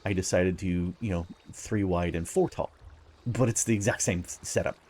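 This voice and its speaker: A man with a raspy early-morning voice, giving casual gameplay commentary as host, upbeat and chuckling often while he rambles through explanations. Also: low voice, normal speed, a little mellow, a little hiss or hum.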